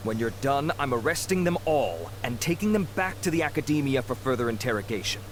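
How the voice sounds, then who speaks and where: An actor playing a calmly authoritative officer of the law in a video game, speaking formally and in measured phrases. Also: male voice, mid-range voice, close to an everyday voice. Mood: stern. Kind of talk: English video game voice acting. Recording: clear background game noise.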